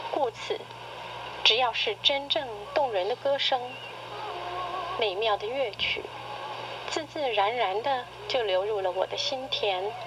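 A middle-aged woman reading a personal essay in radio drama style, with measured, literary, expressive delivery. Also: fairly high pitch. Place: played back from a cassette recorder speaker. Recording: noisy cassette tape played through a recorder.

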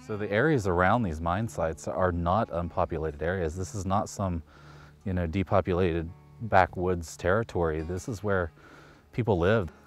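A man's voice: sits low, normal speed, faint music behind. A man in his thirties from West Virginia, a local resident speaking in a documentary interview outdoors. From West Virginia, United States. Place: outdoors in woods.

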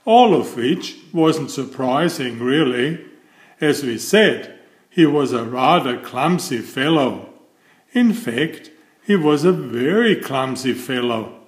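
Animated, unhurried male storyteller. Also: mid-range voice, reverberant. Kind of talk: picture book read aloud.

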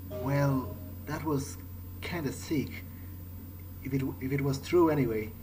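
A man thinking aloud in short, halting phrases as he plays a video game in character. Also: medium pitch, background noise. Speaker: gamer playing a vampire role-playing game in character. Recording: loud game music behind. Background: game music.

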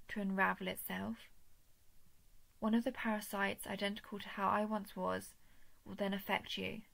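A woman voicing a coldly menacing, falsely gentle alien parasite in an intimate horror audio roleplay, condescending, often slipping toward a breathy whisper. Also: medium pitch, poor audio. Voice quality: creaky, breathy with frequent whispering. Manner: measured, condescending, menacing coaxing.

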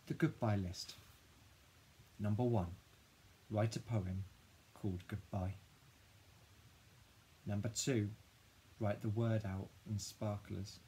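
A man voicing a grieving, dramatic ten-year-old boy who tells his own story in an expressive, husky storybook voice. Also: on the low side, takes it slowly, pauses often, a little hiss or hum. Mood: wistful, hopeful. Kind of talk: first-person children's audiobook narration.

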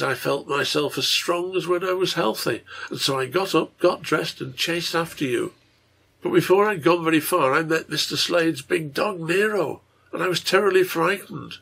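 Man voicing a gentle, earnest dying little girl, husky. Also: fairly high pitch, voice pushed up, full character voice. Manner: quiet, tender, intent delivery. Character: dying young girl, gentle, earnest, pious, devoted daughter.

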